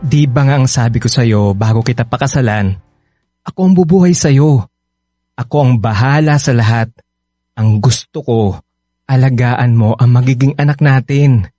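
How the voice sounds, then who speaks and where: An actor playing a concerned, protective young husband, emotive and insistent, in radio drama dialogue. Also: male voice, medium pitch, moderate pace, long pauses, mellow, dry recording, natural voice. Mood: firm, insistent. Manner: emotive, pleading, stammering with concern. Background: faint music.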